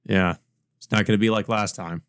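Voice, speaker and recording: A man's voice: fairly low pitch, steady tone, moderate pace, fairly bright tone, smooth, no room sound, clean studio sound. A man hosting a let's play, reading a visual novel aloud with casual asides. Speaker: let's play host and reader.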